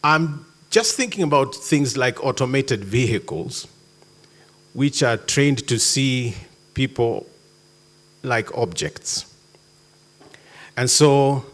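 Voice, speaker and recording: A man giving a conference talk in a hall, measured with sudden emphatic jumps. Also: mid-range voice. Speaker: conference speaker.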